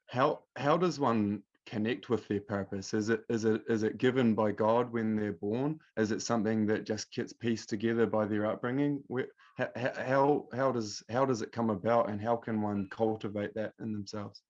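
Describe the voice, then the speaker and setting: A man hosting an interview, halting as he searches for words. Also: on the low side, steady tone, muffled recording. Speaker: host. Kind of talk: interview questions.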